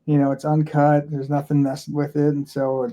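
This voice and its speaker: A man's voice: on the high side, monotone, normal speed, dark tone. A man from Florida, a podcast guest, telling a candid story in a casual, rambling way with many fillers and restarts.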